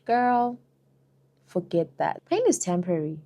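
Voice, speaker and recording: A young woman with a South African accent talks straight to camera in a relaxed Q&A vlog. Her voice sits a little low. She chats freely, peppering her answers with "girl" and "you know". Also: unhurried, dull audio. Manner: chatty, lots of "you know" and "girl", laughs often. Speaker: vlogger. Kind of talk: casual Q&A vlog.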